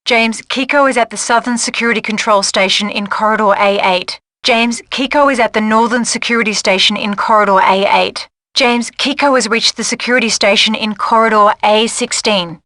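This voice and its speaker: A woman playing a watchful intelligence agent guiding a spy in a video game with crisp, cautioning briefings. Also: on the high side, steady tone, normal speed, hardly any pauses, bright, forceful tone, no room sound. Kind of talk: video game mission guidance.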